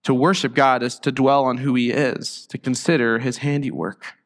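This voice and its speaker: A man preaching a sermon as pastor, reflective and halting, with frequent restarts. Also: medium pitch, fairly even intonation. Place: church sanctuary. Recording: long church reverb.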